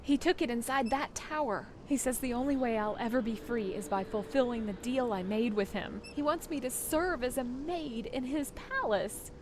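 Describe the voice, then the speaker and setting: An actress playing a frightened young daughter held captive in a video game, speaking in an earnest, pleading manner. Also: female voice, a little high, normal speed, few pauses, background noise, lightly acted. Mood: distressed. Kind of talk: video game character acting.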